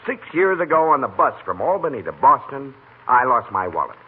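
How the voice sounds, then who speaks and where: A man playing a courteous, earnest gentleman visitor with formal, flowery politeness in an old radio comedy. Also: mid-range voice, very animated, fairly quick, breathy edge. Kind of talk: 1940s radio comedy acting. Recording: tinny old-time radio recording.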